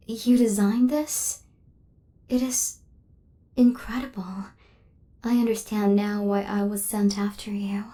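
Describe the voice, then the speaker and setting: A woman playing an affectionate, literal-minded killer robot in an intimate ASMR roleplay, speaking softly and often near a whisper, in measured, formal phrasing. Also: mid-range voice, natural voice. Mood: curious, awed.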